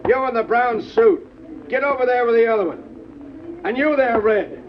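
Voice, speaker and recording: An actor playing a bossy, suspicious police lieutenant who gives curt commands and questions in an old radio crime drama. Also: male voice, very high-pitched, moderate pace, a little hiss or hum. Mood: barking orders. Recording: muffled old radio recording.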